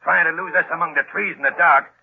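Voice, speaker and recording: A man's voice: on the high side, steady tone, fast, vocal fry, husky, full character voice. An actor playing a hard, cold, calculating gangster boss with slangy, commanding tough-guy talk in a 1930s radio drama. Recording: noisy, muffled old radio recording.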